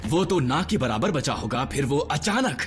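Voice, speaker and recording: An actor playing a grumpy, sceptical robot engineer in a cartoon dub, grumbling and expressive. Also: male voice, on the high side, normal speed, over music. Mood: puzzled, sceptical. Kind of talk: dubbed cartoon acting.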